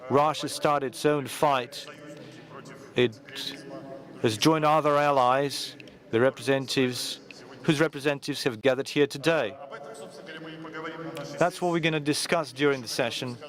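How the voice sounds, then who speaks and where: A man's voice: medium pitch, steady tone, fairly bright tone. Hesitant man speaking at a forum. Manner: hesitant, with frequent pauses and restarts. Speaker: journalist and event organizer. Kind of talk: informal conference remarks. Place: large conference hall.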